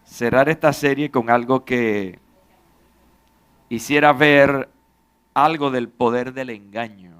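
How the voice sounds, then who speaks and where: A male pastor giving a measured, formal introduction to a testimony before his church. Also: mid-range voice, steady tone, a bit bright, smooth.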